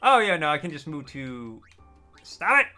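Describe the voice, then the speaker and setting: A man live-streaming a game, chatting casually with his viewers in a deliberately raised, customer-service-style register. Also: a little high, sing-song, pushed and bright, faint music behind. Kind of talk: casual live-stream chat while gaming.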